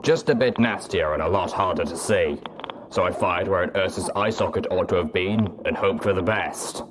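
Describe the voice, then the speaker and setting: A man voicing a gruff, commanding undead pony soldier in armor, speaking tersely in an audiobook reading. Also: low-pitched, normal speed, background noise, close to an everyday voice. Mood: matter-of-fact. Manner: terse, military.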